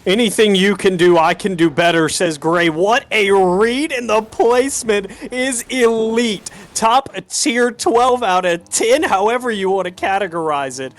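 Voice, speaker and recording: A man's voice: sits high, unhurried, few pauses. A man working as an esports caster, giving live commentary on a video game match. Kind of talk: live Rocket League commentary.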